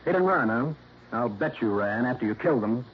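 Man playing a brisk, lively hard-boiled radio detective. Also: medium pitch, slight background noise, close to an everyday voice. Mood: accusing, insistent. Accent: American. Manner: clipped, assertive, wry. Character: hard-boiled, confident male insurance investigator, brisk and needling. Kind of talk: old-time radio detective drama acting. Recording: muffled vintage radio recording, faint music.

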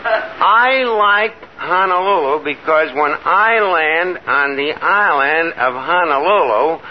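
Boastful schemer, New York accent, animated and fairly high, pompous bad grammar, vintage radio sitcom, hissy old recording. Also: male voice. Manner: pompous, ungrammatical, hesitating. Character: boastful, scheming, malapropping tavern man, exasperated and vain. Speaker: star of a radio comedy. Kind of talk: 1950s radio sitcom acting. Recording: noisy, muffled 1950s radio recording.

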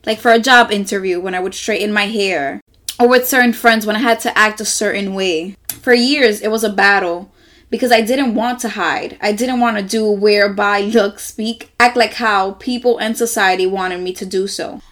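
A young woman from the Dominican Republic with a Dominican accent, reading her own journal entries aloud in a soft, reflective, earnest way. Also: medium pitch, fairly even intonation, normal speed. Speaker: journaling vlogger.

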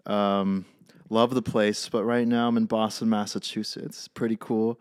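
A man's voice: fairly low pitch, little pitch movement, measured pace, clean tone, no room sound, studio quality. A young man from California with a Californian accent, co-hosting a casual podcast, joking and rambling with many ums. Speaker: podcast co-host. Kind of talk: casual chat.

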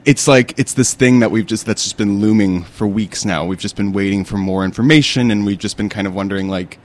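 A man's voice: on the low side, a little fast. A man co-hosting a podcast, speaking earnestly and searching for words, often trailing off. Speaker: podcast co-host. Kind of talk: casual conversational chat.

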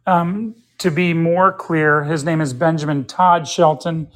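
A man's voice: fairly high pitch, fairly even intonation, unhurried. A man in his fifties, a guest in a casual sports interview, speaking in an earnest, opinionated way as he thinks aloud. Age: 50s. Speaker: interview guest, pickleball player.

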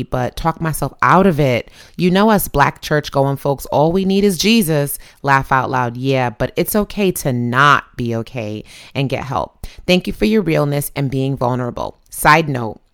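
Podcast host, woman, middle-aged, lower register, informal and chatty delivery. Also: a bit bright. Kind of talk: casual podcast chat. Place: home office with windows open.

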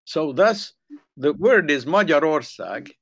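A man's voice: fairly high pitch, lively intonation, takes it slowly, breathy edge, lo-fi sound. An older man with a Hungarian accent, telling long rambling stories in a casual chat. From Ukrainian Soviet Republic. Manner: rambling storyteller, repeats words when restarting.

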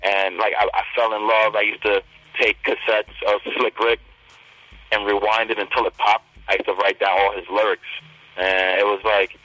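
Confident bright-voiced man from Trinidad. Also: on the low side, level tone, moderate pace, low-quality recording. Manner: confident, self-promoting. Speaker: rapper guest. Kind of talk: casual interview talk.

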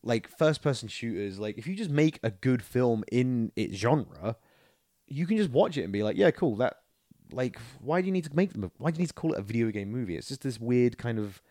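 Animated man in casual podcast chat. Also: medium pitch, a little fast, no echo, studio quality. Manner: animated, rambling, acts out quotes. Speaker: podcast co-host. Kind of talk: casual film and video game chat.

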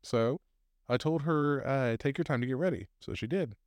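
A man's voice: medium pitch, moderate pace, no echo. A man co-hosting a casual podcast, reading posted stories aloud with fillers and laughs.

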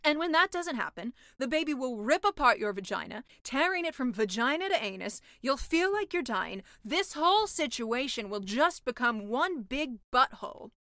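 A woman voicing a sly, menacing sea witch from a storybook, with a blunt, theatrical, drawn-out delivery. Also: sits high, sing-song, bright, forceful tone, no room sound, studio quality, heavily acted. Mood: blunt, grim. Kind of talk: storybook character voice.

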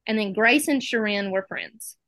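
A woman's voice: medium pitch, moderate pace, a bit bright, no echo. A young woman from South Alabama with a Southern accent, chatting as a podcast guest and telling stories with quoted back-and-forth. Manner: chatty storytelling, acts out quoted exchanges. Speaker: dental student guest. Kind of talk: casual chat.

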